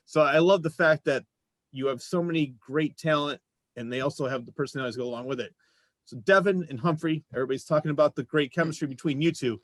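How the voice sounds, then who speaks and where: A man's voice: fairly high pitch, quick pace, no echo. A man hosting a casual panel interview, talking conversationally. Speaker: show host.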